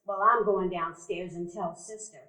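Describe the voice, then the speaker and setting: A woman playing the boy's kind, gentle but firm friend and caretaker in a live stage radio play. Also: lowish voice, fairly even intonation, moderate pace. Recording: echoey live theater room.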